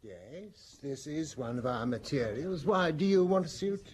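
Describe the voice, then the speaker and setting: Mellow, obliging tailor. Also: male voice, on the high side, animated, a little slow. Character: obliging, chatty male tailor. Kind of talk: radio drama acting.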